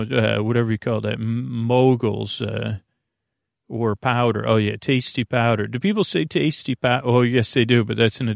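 A man with a creaky, gravelly voice hosting a bedtime podcast in a meandering, halting, folksy monologue full of digressions. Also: mid-range voice, no echo, clean studio sound. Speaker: podcast host.

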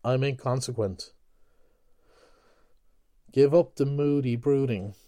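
A man's voice: mid-range voice, slow, mellow, no echo, natural voice. A man voicing a brash, mocking young male medical student in a flippant, teasing manner.

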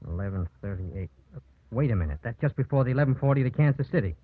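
An actor playing a principled, steady small-town man with an earnest, firm manner in a vintage radio drama. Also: mid-range voice, quick pace, breathy edge, no echo. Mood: concerned. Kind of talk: 1940s-50s radio acting. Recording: muffled old radio broadcast.

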